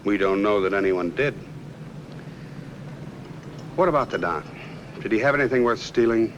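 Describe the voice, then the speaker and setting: A man's voice: lowish voice, lively intonation, normal speed, lo-fi sound, lightly acted. An American man playing a shrewd, commanding, slangy private detective in a 1950s film noir, on a noisy old soundtrack. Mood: wry, probing. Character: portly, shrewd, unflappable private detective boss. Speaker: film actor.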